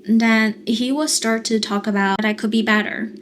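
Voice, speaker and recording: Gentle woman with a Mandarin accent. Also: a little high, level tone, moderate pace, a little hiss or hum. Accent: Mandarin Chinese. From China. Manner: gentle, earnest, explanatory. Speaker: therapist and coach. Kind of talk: calm coaching monologue to camera.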